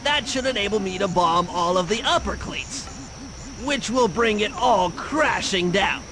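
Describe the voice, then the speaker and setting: An actor playing a fussy, confident, brainy turtle tactician who gives precise, technical briefings in a cartoon video game. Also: male voice, high-pitched, animated, a little slow, noisy background. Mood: focused planning. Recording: game music behind voice. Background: game music.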